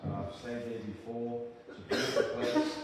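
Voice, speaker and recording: Older South African male preacher; earnest, halting delivery; distant mic, big church reverb. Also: medium pitch, huge pitch swings, a crowd in the background. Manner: earnest, halting, with frequent restarts. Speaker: visiting preacher. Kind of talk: sermon with prayer. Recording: very echoey church from a distant mic with room noise.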